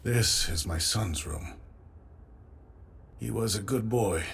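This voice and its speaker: An actor playing an older, embittered noble father in grief, with a grave, weary, gravelly delivery in an anime dub. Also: on the low side, slow, slight background noise. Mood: sorrowful, grave. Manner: grave, weary, swinging into fierce outbursts. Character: grieving, embittered older human lord, a bereaved father. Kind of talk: English anime dub acting.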